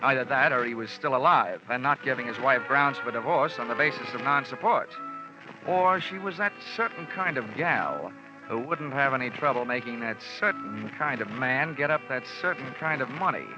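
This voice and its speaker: An actor playing a wisecracking hard-boiled investigator. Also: male voice, mid-range voice, expressive, moderate pace, close to an everyday voice. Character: wisecracking male insurance investigator, dry and sardonic. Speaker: narrator and lead detective. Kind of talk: 1950s radio drama acting. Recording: dull old radio recording with music.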